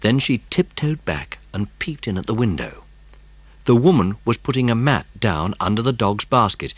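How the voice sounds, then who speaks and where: Male narrator for a children's audiobook, deep and husky, expressive, dramatic storytelling. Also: no room sound.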